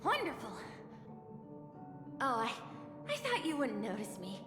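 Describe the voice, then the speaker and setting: An actress playing a gentle, eager, apologetic young female guide who sounds polite and earnest in a video game. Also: fairly high pitch, wildly swooping pitch, a little slow. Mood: polite, welcoming. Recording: echoey hall reverb, music behind.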